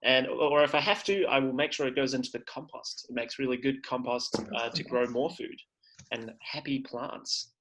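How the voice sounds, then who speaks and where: A man's voice: mid-range voice, moderate pace, a bit bright, slight background noise, rough recording. A man hosts a children's livestream over a video call. He is upbeat and encouraging and uses many fillers. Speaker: livestream host. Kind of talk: presenting to children.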